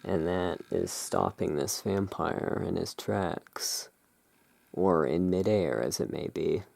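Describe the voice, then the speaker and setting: An ASMR host speaking softly and musingly, describing and reading out cards in a hushed, unhurried roleplay manner. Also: deep voice, vocal fry. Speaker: host, playing curiosity emporium owner.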